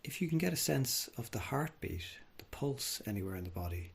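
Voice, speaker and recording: A man leading a guided body scan meditation, speaking gently and without hurry, leaving long pauses. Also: mid-range voice, very animated, vocal fry, husky. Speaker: mindfulness meditation teacher.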